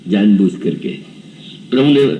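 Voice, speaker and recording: A man's voice: fairly low pitch, expressive, a little slow, mellow, distant mic, rough recording. A man in his seventies giving a devotional scripture discourse, telling the story and acting out characters' dialogue. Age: 70s. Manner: storytelling, voices characters' dialogue and chants verses. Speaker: preacher.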